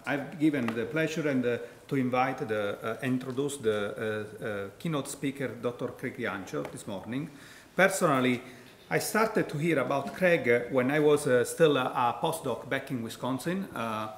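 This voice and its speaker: A man's voice: medium pitch, a bit bright. Hesitant man in an echoey hall. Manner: hesitant, many fillers and restarts. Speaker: introducer at a conference. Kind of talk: formal introduction speech. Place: large hall. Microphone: handheld mic at a lectern. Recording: echoey hall, far from the mic.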